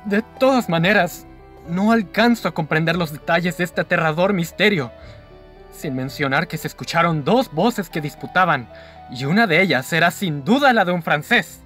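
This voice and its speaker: A man's voice: a little high, expressive, normal speed, a bit bright, soft background music. A man with a Mexican accent playing an astonished, bewildered listener in a radio mystery, speaking in a formal, dramatic way. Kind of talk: radio play acting.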